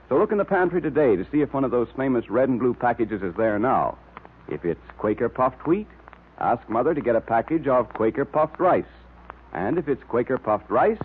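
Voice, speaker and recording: A man's voice: medium pitch, husky. A male radio announcer in 1940s style, delivering scripted adverts and story narration with emphatic salesmanship. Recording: tinny vintage radio recording.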